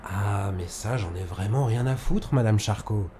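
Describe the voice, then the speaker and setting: An actor playing a cold, sardonic police investigator with blunt, menacing politeness in an audio drama. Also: male voice, on the low side, measured pace, warm tone, a little hiss or hum, lightly acted. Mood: cold sarcasm. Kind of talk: audio fiction acting.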